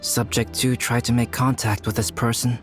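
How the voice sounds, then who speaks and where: A thoughtful, reserved young alchemist from a game, played by a man tells his story in a calm, measured, soft-spoken voice at an ordinary pitch. Faint game music plays beneath him. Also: natural voice.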